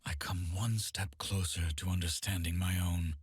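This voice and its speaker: An actor playing a solemn, brooding masked swordsman who hunts demons, speaking gravely in measured game voice lines. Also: low voice, steady tone, no echo, studio quality. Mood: grave, resolute. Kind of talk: scripted video game character voice lines.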